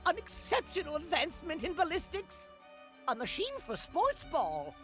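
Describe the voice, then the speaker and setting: A tiny old yordle inventor for a video game, eccentric and pedantic. The high voice leaps wildly in pitch with excitable, exclamatory delivery over faint music. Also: male voice, moderate pace, exaggerated voice. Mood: excited. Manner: pedantic, scientific jargon, exclamatory. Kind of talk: video game character voice lines.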